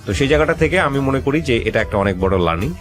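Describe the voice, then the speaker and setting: Fast-talking Bangladeshi man hosting, music behind. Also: medium pitch, expressive. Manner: insistent, questioning, sermonizing; mixes English phrases into speech. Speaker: radio show host. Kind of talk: advising a guest on a life-story show.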